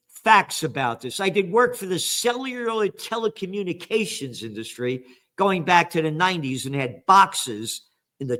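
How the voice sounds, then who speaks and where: An older man hosting a news commentary, reading headlines and ranting with angry, sarcastic, profane remarks that swing from low mutters to shouts. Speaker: trends commentator and host.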